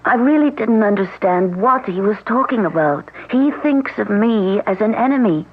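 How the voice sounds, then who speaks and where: An actress playing a recently widowed woman, hesitant and soft-spoken, in a 1970s radio drama. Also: medium pitch, expressive, moderate pace, natural voice. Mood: anxious. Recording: narrow-band old radio broadcast.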